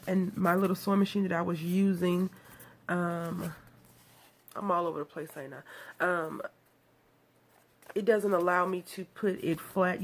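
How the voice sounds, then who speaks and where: A woman from Texas, nasal from a cold, chatting and rambling as she walks through making a craft card. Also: fairly low pitch. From Texas, USA. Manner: chatty, rambling, self-deprecating. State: has a cold. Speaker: craft video host. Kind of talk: casual card-making tutorial.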